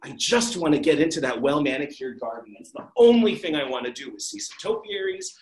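A woman's voice: sits low, very animated, a little fast, darkish tone. A woman voicing earnest young Alice. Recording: roomy echo.

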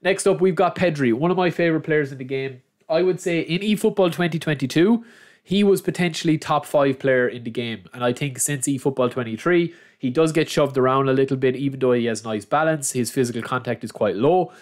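A young man talks casually over a screen recording, sounding a little nasal from a head cold. His manner is chatty and matey, addressing his audience as lads while he walks through player picks. His pitch sits at an ordinary middle level for a man. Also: fairly quick. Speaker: gaming channel host. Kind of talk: screen-recorded game guide.

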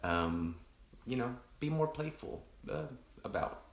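A man, a pastor casually chatting with online viewers in a rambling, reflective way, his voice deepened by allergies. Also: big pitch swings, unhurried, roomy sound. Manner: rambling, many restarts and fillers, greets viewers by name. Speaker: pastor hosting an online coffee chat.

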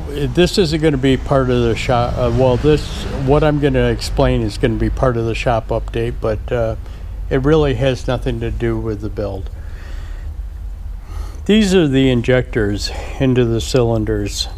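Unhurried man, mid pitch, rambling shop walkthrough on an action cam, faint background hum. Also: a little mellow. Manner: slow, rambling, many fillers and restarts. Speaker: shop owner and builder. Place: large workshop garage, indoors. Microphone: action camera.

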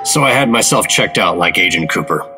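Man playing a deep-voiced 1950s G-man, clipped and wary. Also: expressive, a little fast. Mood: suspicious. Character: 1950s male government agent, hard-boiled, dry and wary. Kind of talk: 1950s radio drama narration and dialogue. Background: dramatic music.

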